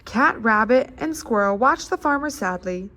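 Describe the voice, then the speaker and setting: A woman's voice: on the high side, lively intonation, normal speed, bright, forceful tone. An American woman speaking as a children's librarian at storytime, encouraging and addressing young listeners as friends.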